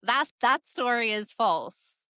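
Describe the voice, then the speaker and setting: A woman's voice: a little high, expressive, slow pace, bright, forceful tone, dry recording. A woman hosting a podcast, interviewing a friend in a friendly way and laughing often. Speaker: podcast host. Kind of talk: casual interview.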